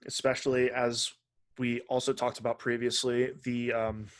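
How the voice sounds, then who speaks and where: A man's voice: mid-range voice, level tone, moderate pace. Man hosting a casual gaming podcast. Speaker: podcast host. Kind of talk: casual video game podcast commentary.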